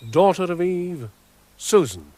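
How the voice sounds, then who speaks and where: Benevolent old Father Christmas, British accent, unhurried solemn delivery, raised pitch, radio drama, soft music behind. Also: expressive. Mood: solemn kindness. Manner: stately, solemn, declamatory. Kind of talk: dramatic radio play acting.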